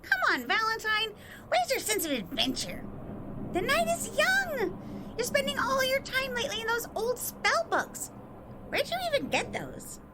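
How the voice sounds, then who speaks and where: A woman's voice: extremely high pitch, sing-song, normal speed, exaggerated voice. A woman voicing a perky young female tech pixie who teases and coaxes restlessly, heard over a noisy background hiss. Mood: coaxing. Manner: teasing, bubbly and restless.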